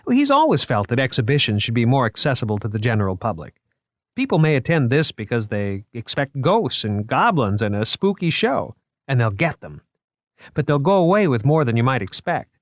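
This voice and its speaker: A man's voice: mid-range voice, very animated, brisk, dry recording, clean studio sound, pitched above the performer's own voice, lightly acted. A man reading an audiobook, voicing an eager and nervous young male museum curator who stammers when flustered. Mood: persuasive. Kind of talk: audiobook character voice.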